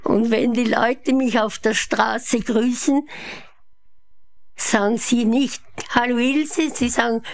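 A woman's voice: fairly high pitch, fairly even intonation, slow pace. A woman in her eighties with a Viennese Austrian accent, telling her life story as an interview guest. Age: 80s. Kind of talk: unhurried autobiographical storytelling. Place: her apartment living room.

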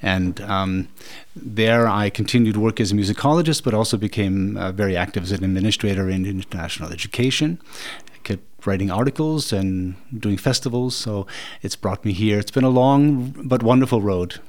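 A somewhat low-pitched man speaks as a guest in a radio studio. He answers thoughtfully, pausing often and filling gaps with hesitations. His talk is relaxed and conversational. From Norway. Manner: thoughtful, hesitant with many fillers. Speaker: guest, festival director.

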